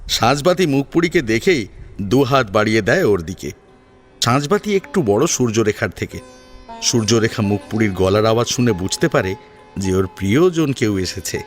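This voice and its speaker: A man's voice: lowish voice, big pitch swings, moderate pace. A man narrating a story in a dramatic audiobook style. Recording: sitar music behind the voice. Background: plucked sitar music.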